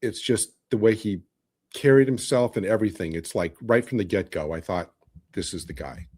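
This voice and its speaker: A man's voice: lowish voice, moderate pace, a little mellow. A man talking casually as a guest in a fan discussion podcast.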